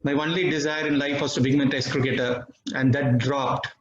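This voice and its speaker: A man's voice: medium pitch, little pitch movement. Reflective Indian man, roomy muffled recording. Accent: Indian English. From Bangalore, India. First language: Tamil. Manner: reflective, measured, with pauses. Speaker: devotee sharing experiences. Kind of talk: personal testimony talk. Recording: dull, slightly roomy recording with faint noise.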